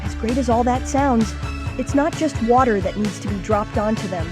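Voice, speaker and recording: A woman playing a bossy, excitable boy who leads a team of kid heroes in an amateur cartoon comic dub. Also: on the high side, normal speed, natural voice. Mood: matter-of-fact. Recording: music under the voice.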